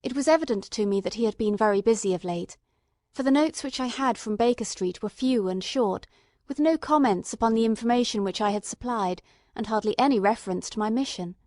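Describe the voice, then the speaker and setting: A woman reading literary narration for an audiobook in a measured, expressive storytelling manner. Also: fairly high pitch, dry recording, studio quality.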